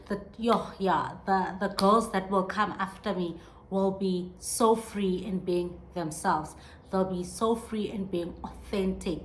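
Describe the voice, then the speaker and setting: A woman's voice: lowish voice, slight background noise. A woman in her thirties hosting a podcast, talking earnestly and reflectively on her own, with many restarts as she searches for words. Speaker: podcast host. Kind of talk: reflective solo monologue.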